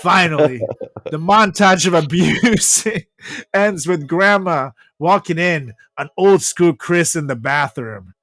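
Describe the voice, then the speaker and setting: A man's voice: a little high, takes it slowly, a bit bright. A man hosting a comedy podcast, moving between casual banter and reading a comic story aloud. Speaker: podcast host. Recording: echoey room with music behind.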